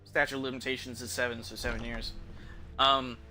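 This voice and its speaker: A man's voice: medium pitch, level tone, a little fast, pushed and bright, soft background music, rough recording. A man who streams games, giving casual, rambling commentary and thinking aloud in informal, mildly profane language. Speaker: game streamer.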